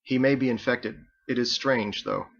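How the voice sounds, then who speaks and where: A man voicing a stern, coldly logical female executioner in a formal, measured, clipped way for a story. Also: mid-range voice, steady tone, a bit bright, highs cut off, lightly acted. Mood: cold, composed.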